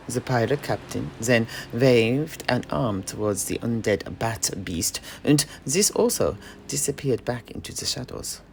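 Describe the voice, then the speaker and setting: A man telling an in-character fantasy story in a dramatic, measured and halting manner. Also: medium pitch, vocal fry, a little mellow, a little hiss or hum.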